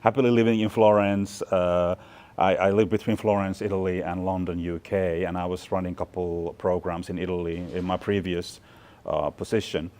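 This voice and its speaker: A man's voice: low-pitched, little pitch movement, moderate pace, a little mellow. A man in his forties from Finland, talking through thoughtful, chatty interview answers with plenty of fillers and restarts. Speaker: guest, fashion department chair. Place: large bright studio loft indoors.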